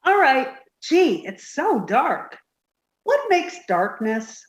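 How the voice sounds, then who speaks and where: A woman's voice: sits high, big pitch swings, takes it slowly, reverberant, full character voice. A woman voicing a childlike, dim, easily frightened young woman in a radio comedy. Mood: naive, earnest. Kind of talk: 1950s radio sitcom reading.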